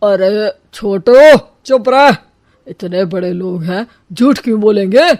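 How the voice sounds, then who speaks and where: A woman's voice: mid-range voice, slow, a little mellow, dry recording, studio quality, lightly acted. A woman voicing a gullible elder of a cartoon family, with an easily impressed manner. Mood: scolding. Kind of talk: cartoon dialogue, Hindi moral story.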